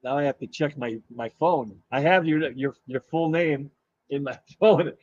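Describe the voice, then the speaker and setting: Man streaming live, voice going hoarse. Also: a little high, darkish tone, no echo, dull audio. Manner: rambling, halting, with many fillers and restarts. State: losing his voice. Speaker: livestream host. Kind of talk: casual live-stream chat, reading viewer comments.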